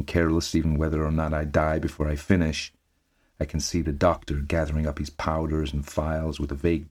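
A man reading a ghost story in a put-on American accent, voicing a dying man making his last confession in grave, measured tones. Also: very deep voice, a little mellow.

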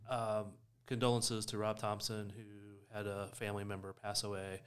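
A man's voice: on the low side, little pitch movement, normal speed, clear, resonant tone. A man co-hosting a sports podcast, talking casually and explaining his points with emphasis. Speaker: podcast co-host.

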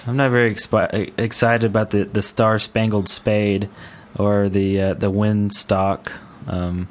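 A man's voice: fairly low pitch, level tone, a little slow. A man co-hosting a gaming podcast in casual chat, talking in a hesitant, meandering way. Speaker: podcast co-host.

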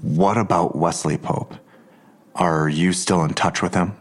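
An actor playing a menacing, suspicious police detective in an audio drama, pressing with pointed, accusatory questions. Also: male voice, sits low, steady tone, measured pace.

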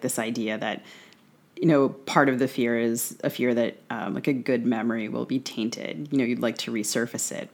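A woman co-hosting a casual literary conversation in a discursive, wry way. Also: rumbling low. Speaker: podcast co-host.

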